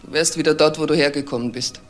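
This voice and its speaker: An actress plays a wronged wife in a crime drama. She is a controlled, bitter woman who questions with suspicion and hurt, with soft music underneath. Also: low-pitched, steady tone, fast talker, echoey room, lightly acted. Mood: bitter, pleading. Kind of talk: dramatic dialogue acting.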